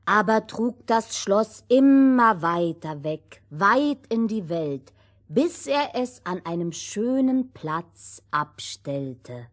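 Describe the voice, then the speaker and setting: A woman's voice: mid-range voice, very animated, slow pace. A woman playing an assured storyteller who narrates a fairy tale for children and corrects her partner. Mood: narrating.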